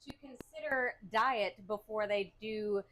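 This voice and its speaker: A woman's voice: medium pitch, takes it slowly, fairly bright tone, low-quality recording. A woman co-hosting a cooking show in casual livestream chat.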